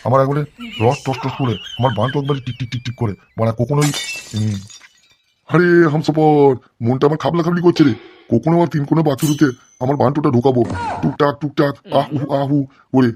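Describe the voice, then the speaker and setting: Man playing a blustering, very fast-talking cartoon gangster boss. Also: medium pitch, noisy background, lightly acted. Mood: lecherous, eager. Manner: blustering, scolding, constantly swearing. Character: crude, bullying, lecherous gangster politician boss. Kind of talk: comic cartoon dialogue in crude slang.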